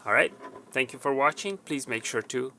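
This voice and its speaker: Bright-voiced man giving a how-to. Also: medium pitch, moderate pace, gravelly, slight background noise. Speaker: technician giving tutorial. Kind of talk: informal how-to instruction.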